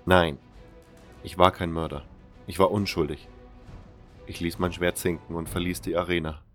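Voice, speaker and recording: A man reading scripted first-person narration for a fan fantasy audio drama in a measured, sober storytelling manner. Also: low-pitched, little pitch movement, a bit bright, soft background music.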